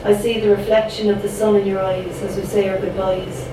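A woman's voice: mid-range voice, level tone, brisk. A young Irish woman from Cork performing her spoken word poems with a rhythmic, dramatic delivery and chatty asides between pieces. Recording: echoey room picked up from a distance, background noise.

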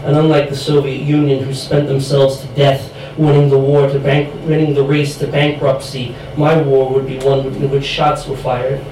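A man's voice: medium pitch, flat delivery, moderate pace, few pauses, dark tone. A young man from the United States reading his poetry at a live event, his voice going from a chest infection. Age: young adult. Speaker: poet. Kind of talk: reading own poem aloud at a live event. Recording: very echoey room, distant mic, clear noise.

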